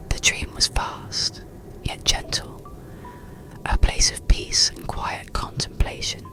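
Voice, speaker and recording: A narrator reading a soothing bedtime story in a breathy, unvoiced ASMR whisper, with gentle pauses. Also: high-pitched, low-quality recording. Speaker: audiobook narrator.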